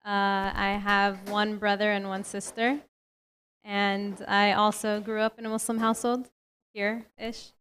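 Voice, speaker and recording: A woman of twenty-three introducing herself on a panel, measured and even. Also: medium pitch, a little hiss or hum.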